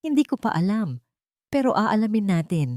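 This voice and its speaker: A woman's voice: medium pitch, big pitch swings, dark tone, no echo, studio quality, voice pushed up, lightly acted. A woman with a Filipino accent voicing a grave, determined man in a dramatic audio story. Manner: grave, deliberate. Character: serious, determined man, journalist's son seeking justice.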